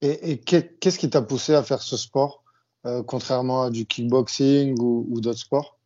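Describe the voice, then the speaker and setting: A man's voice: mid-range voice, fairly even intonation, a little slow, mellow. A man hosting a podcast, asking plain questions with small hesitations. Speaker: podcast host. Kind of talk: interview questions. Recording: dull lo-fi audio.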